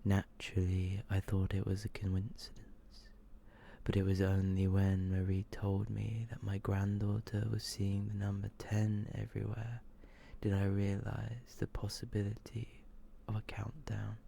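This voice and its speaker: Hushed, very monotone man doing ASMR. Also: lowish voice, vocal fry, a little hiss or hum. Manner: hushed, slow, drawn-out delivery. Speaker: ASMR video host reading short horror stories. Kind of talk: ASMR, softly whispered and murmured reading.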